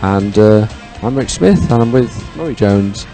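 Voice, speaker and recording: A man's voice: fairly low pitch, expressive, unhurried, mellow, soft background music. A man co-hosting live sports coverage as a commentator, chatting casually. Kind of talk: casual live broadcast chat.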